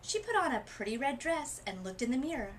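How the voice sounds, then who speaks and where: Woman, children's read-aloud narration, highly animated intonation, dramatic pauses, faint ambient noise. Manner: expressive, dramatic pauses and emphasis. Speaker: literacy coach reading aloud.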